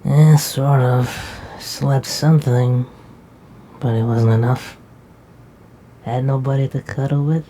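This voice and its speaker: A woman's voice: rumbling low, fairly even intonation, slow pace, pauses often, dark tone, a little hiss or hum. A woman playing a sleepy, protective young knight who talks in a drowsy, affectionate way. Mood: tired. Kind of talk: casual roleplay dialogue.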